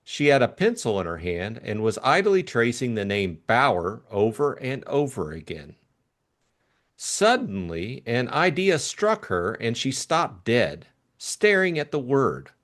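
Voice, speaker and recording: A man narrating a classic novel as an expressive audiobook reader. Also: mid-range voice, unhurried.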